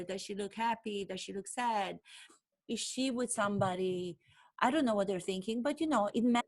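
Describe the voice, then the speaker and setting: A woman from Romania whose first language is Romanian, an actor telling a personal story to camera in an animated way, acting out her own nervous thoughts. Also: mid-range voice, rough recording.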